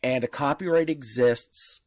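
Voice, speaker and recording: A man with a mid-pitched voice talks informally on a podcast panel. He explains step by step, teaching through examples. The sound is close and dry, with very little room. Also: measured pace, darkish tone, dry recording.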